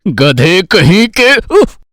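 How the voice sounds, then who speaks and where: A man's voice: sits high, very animated, extremely slow, fairly bright tone, no room sound, studio quality, heavily acted. A man voicing an exasperated, grumbling village father in a Hindi cartoon story, scolding and doubtful. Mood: angry, exasperated.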